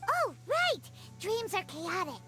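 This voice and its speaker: Casting: tiny blunt sidekick girl for anime game, extremely high, wildly animated, exclaiming. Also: slow, heavily acted. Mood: excited. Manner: squeaky, exclaiming, refers to self in third person. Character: tiny excitable floating girl companion, blunt and naive. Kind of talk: anime video game voice acting. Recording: loud game music behind.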